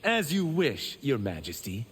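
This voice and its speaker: Cocky, animated young warrior monk. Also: male voice, mid-range voice, unhurried, in a large hall, a little hiss or hum. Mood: cocky, wry. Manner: cheeky, confident. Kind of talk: dramatic video game dialogue.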